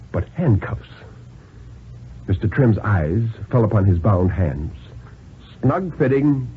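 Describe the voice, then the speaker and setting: A man narrates a story in dramatic 1950s radio style. His delivery is theatrical and deliberate, swinging widely in pitch for effect. The recording is a thin, band-limited vintage broadcast. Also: phone-quality sound, slight background noise. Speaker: narrator reading a thriller story.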